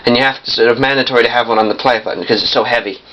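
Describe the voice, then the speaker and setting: A young man giving a casual hands-on demonstration in a halting, piecemeal way, often correcting himself. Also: medium pitch, steady tone. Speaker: vintage audio collector demonstrating a machine. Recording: muffled lo-fi camcorder sound, noisy.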